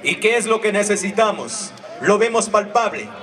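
High-voiced Ecuadorian man giving a speech. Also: animated, normal speed, fairly bright tone, recorded from a distance, a faint crowd. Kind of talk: formal public speech. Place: outdoor sports ground.

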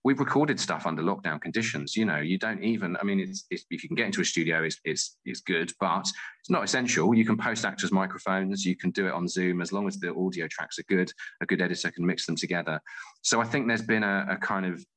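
A man speaks as a guest in a conversational interview. His voice is very low yet bright and forward. He talks thoughtfully, circling back over phrases as he works out his answer. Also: fast talker. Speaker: interview guest, playwright and theatre director.

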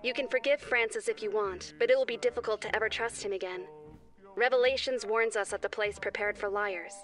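A woman voicing a gentle, instructive angel rabbit who teaches moral lessons in a children's cartoon. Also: mid-range voice, a little fast, music in the background, close to an everyday voice. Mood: calm, instructive.

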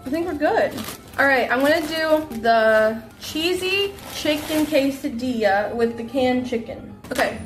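A young woman in her twenties livestreams from her home kitchen, her voice sitting high. She chats casually and dramatically, groaning at smells and exclaiming as she goes. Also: expressive, measured pace, faint music behind. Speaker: streamer. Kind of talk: casual livestream chat while cooking.

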